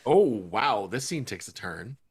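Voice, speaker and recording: A man's voice: fairly low pitch, lively intonation, a little slow, breathy edge. A man reading screenplay stage directions aloud, with wry, irreverent asides. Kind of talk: dramatic script narration with joking asides.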